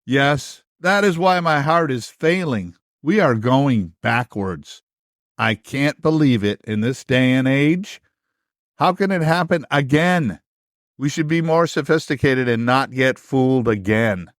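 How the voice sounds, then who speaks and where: Man playing a melodramatic, slow-talking history book puppet. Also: mid-range voice, no room sound, clean studio sound, close to an everyday voice. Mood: dismayed, grave. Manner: melodramatic, exclaiming, drawn-out delivery. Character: dramatic, anxious old-fashioned history book puppet, male. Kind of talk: theatrical puppet musical acting.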